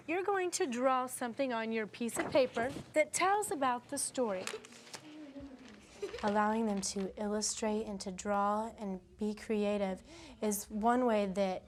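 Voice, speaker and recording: A young woman teacher with a fairly high voice speaks in an expressive, encouraging way. There is faint background noise around her as she instructs. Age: 20s. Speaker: afterschool teacher. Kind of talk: explaining teaching methods and leading a children's read-aloud.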